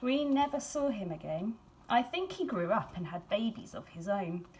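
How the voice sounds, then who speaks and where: Woman, mid-pitched voice, expressive picture-book reading, dramatic emphasis, hushed phrases, faint background noise. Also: normal speed, rough recording. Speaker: school staff member reading a picture book aloud. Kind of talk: expressive children's storybook reading.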